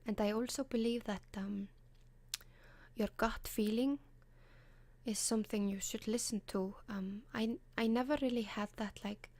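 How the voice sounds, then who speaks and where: A woman with an Icelandic accent, whispering gentle advice in an ASMR style, often pausing with soft ums. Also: fairly high pitch, fairly even intonation, no echo. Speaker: ASMR advice column host.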